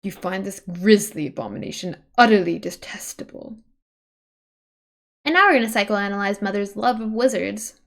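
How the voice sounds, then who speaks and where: A woman's voice: medium pitch, vocal fry. A woman streamer reading a webcomic aloud, mixing dramatic narration with casual, chatty asides.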